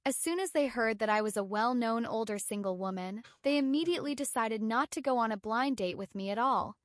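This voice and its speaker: Woman, thirties, breezy confident read, a touch high, dry sound. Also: brisk, no room sound. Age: 30s. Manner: chatty, self-assured, playful. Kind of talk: scripted narration of a clip.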